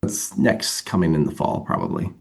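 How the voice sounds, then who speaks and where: A man's voice: very deep voice, wildly swooping pitch, moderate pace, creaky, husky. A man talking casually as an interview guest, rambling a little as he thinks aloud. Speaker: interview guest, comic writer.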